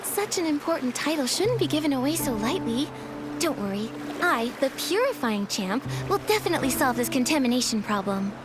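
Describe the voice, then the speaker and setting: A young woman playing a kind, gentle and determined heroine in a video game, speaking sincerely and with measured politeness. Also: sits high, big pitch swings, noisy background. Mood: reassuring. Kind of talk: video game character acting. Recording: loud music behind the voice. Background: game music.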